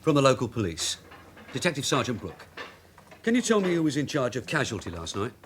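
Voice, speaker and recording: A man acts a long-serving London police sergeant for radio drama. His London voice is animated and mid-pitched, full of brisk orders and dry teasing. A faint background noise sits behind him. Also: close to an everyday voice. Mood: authoritative.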